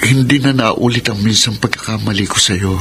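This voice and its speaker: A man's voice: fairly low pitch, normal speed, crowd noise, lightly acted. A man acting an evasive, defensive young boyfriend in a Filipino radio drama, pleading and prone to stammering. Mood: defensive, nervous. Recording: music under the voice.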